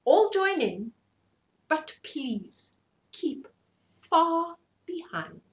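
A woman's voice: very high voice, big pitch swings, very slow, voice pushed up, exaggerated voice. A woman voicing a haughty male peacock in a children's storybook, pompous and commanding. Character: haughty male peacock, self-important star of the parade. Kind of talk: children's storybook read-aloud.

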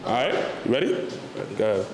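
A young man hosting a casual dating game, prompting contestants in a relaxed, slangy way and often repeating himself. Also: on the low side, fast. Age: young adult. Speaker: host. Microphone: handheld mic. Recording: echoey studio with loud noise.

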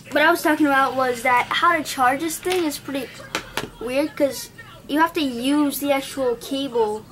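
A young woman with an Indian accent, casually reviewing a gadget at her home desk while thinking aloud and correcting herself. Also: high voice. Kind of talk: casual unboxing vlog. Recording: noisy home camera recording.

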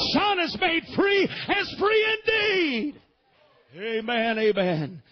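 A man delivers a fervent sermon in a strikingly high, husky voice, rising to shouted peaks and sinking to low, quiet passages, with frequent "amen". The recording is dull and lo-fi, as if taped from a church pulpit microphone. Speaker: pastor.

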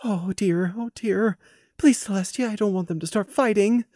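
A man voicing a timid, soft-hearted mare who frets quietly. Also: sits high, normal speed, dry recording, studio quality, pitched above the performer's own voice, close to an everyday voice. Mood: fretful. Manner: worried, quiet and fretting.

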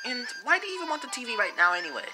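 A man's voice: very high-pitched, big pitch swings, racing, loud music behind the voice. A man voicing an exasperated, stubborn cartoon plumber brother in an amateur comedy skit, whiny and emphatic.

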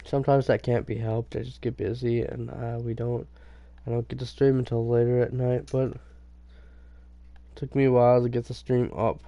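A young man with a stuffy nose and a raspy voice, chatting loosely and swearing at enemies while he streams a game. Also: mid-range voice, little pitch movement, normal speed, warm tone, lo-fi sound. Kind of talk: casual gaming commentary.

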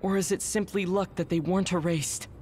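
A woman playing a calm, formal, practical young soldier in an anime game. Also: lowish voice, fairly quick, slight background noise, natural voice. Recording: music behind voice.

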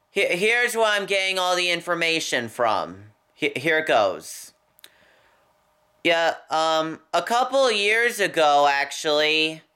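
A young man talking casually and unscripted to a camera in a bedroom, halting and repeating his phrases. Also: a little high, sharp tone, clear, resonant tone. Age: young adult. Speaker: vlogger. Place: small furnished bedroom, indoors. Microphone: camera at about arm's length.

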